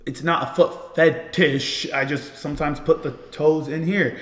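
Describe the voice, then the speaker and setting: A man in his late twenties bantering casually in a vlog, animated and slangy, often repeating himself. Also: fairly high pitch, a little slow. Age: late 20s. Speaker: vlog host. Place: indoor kitchen bar area at home. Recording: echoey, reverberant room.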